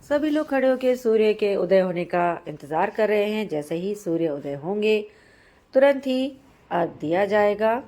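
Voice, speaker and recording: A hoarse woman in her thirties from central India, narrating a vlog outdoors on a rooftop and explaining the customs with devotion. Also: mid-range voice, expressive, moderate pace, dark tone. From Madhya Pradesh, India. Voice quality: husky. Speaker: vlogger.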